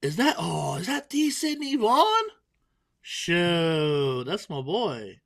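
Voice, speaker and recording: A man's voice: a little high, big pitch swings, slow pace, creaky, a bit bright. A man commentating a darts tournament, joking and poking fun at himself. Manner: playful, self-mocking banter. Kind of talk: joking live sports commentary.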